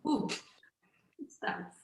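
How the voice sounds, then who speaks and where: A woman's voice: extremely slow, a little mellow, echoey room. A bubbly woman from Venezuela introducing herself over a video call. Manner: bubbly, laughing. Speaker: co-founder guest. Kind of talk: casual self-introduction.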